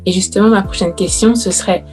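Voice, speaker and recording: A woman hosting a podcast panel, asking her questions in a measured, drawn-out way. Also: mid-range voice, fairly even intonation, a little mellow, soft background music. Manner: measured, asks questions at length. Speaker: podcast host. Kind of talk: moderating a panel discussion.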